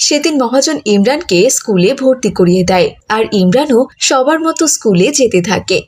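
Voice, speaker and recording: A female narrator telling a cartoon tale. Her voice is fairly high and very lively in pitch, with a husky edge. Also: normal speed. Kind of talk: scripted cartoon story narration.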